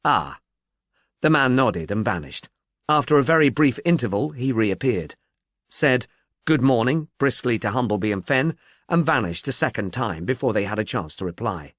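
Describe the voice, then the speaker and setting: Expressive man narrating, very lively pitch. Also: moderate pace, dry recording, studio quality. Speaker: audiobook narrator. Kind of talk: scripted literary mystery narration.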